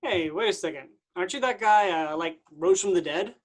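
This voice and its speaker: An actor playing a friendly, starstruck bartender with casual, chatty speech in a comic play reading. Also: male voice, high-pitched, brisk. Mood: curious, friendly.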